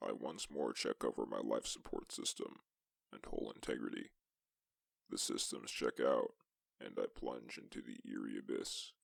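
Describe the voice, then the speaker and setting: An extremely low voice, thick with vocal fry, a man playing a solitary space explorer. He narrates a sci-fi suspense tale in the first person, measured and deliberate. The sound is dry and close, with no room around it. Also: no room sound, exaggerated voice. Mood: measured, solemn. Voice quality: gravelly, creaky. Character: lone male astronaut explorer recounting a tense exoplanet mission.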